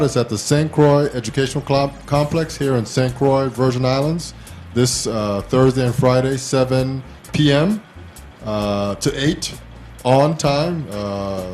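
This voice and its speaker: A man with a mid-range voice hosts a casual podcast. He is informal and self-deprecating, and soft music plays behind him. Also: mid-range voice, measured pace. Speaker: talk show host. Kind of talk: casual podcast interview hosting.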